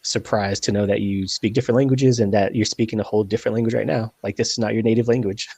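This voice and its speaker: A man's voice: lowish voice, steady tone, fast, creaky, mellow, breathy edge, no room sound. A man hosting a podcast, asking long, rambling questions in a friendly, casual way. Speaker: podcast host. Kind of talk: casual interview.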